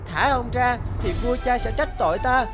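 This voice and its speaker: A whiny little cartoon prince, played by a woman, childish and high-voiced. Music is clearly heard behind him. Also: moderate pace, natural voice. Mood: protesting. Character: playful, lazy, whining little boy prince.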